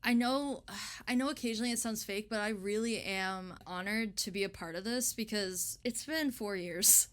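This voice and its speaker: A young woman with a bright, forward voice chats casually as an interviewed artist. Her talk is informal and slangy, with a giggly manner. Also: medium pitch, moderate pace. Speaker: interviewed illustrator.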